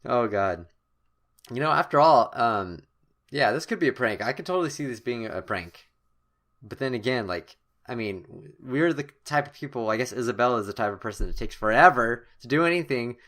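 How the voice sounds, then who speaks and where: A man doing let's-play commentary, reading game text aloud dramatically with expressive, reactive asides. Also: medium pitch. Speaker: gamer, let's-play host. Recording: clearly noisy background.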